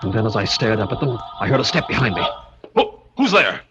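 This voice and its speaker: An actor playing a haunted, brooding young heir, narrating his own tale dramatically and haltingly in 1940s radio style. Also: fairly low pitch, big pitch swings, noisy background. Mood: uneasy, awed. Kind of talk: 1940s radio acting, first-person narration. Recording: muffled vintage radio broadcast over loud music.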